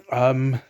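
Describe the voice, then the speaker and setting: A man's voice: mid-range voice, a little mellow. A young man from England talking at home in a casual vlog, hesitant, with many ums and restarts. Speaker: vlogger. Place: at home, indoors. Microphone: laptop webcam.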